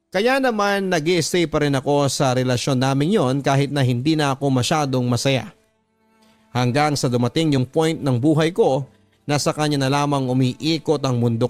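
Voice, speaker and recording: A Filipino man narrates in the manner of a radio drama host reading out a letter. His voice sits a little higher than most men's. The delivery is scripted storytelling, with music playing softly behind him. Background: background music.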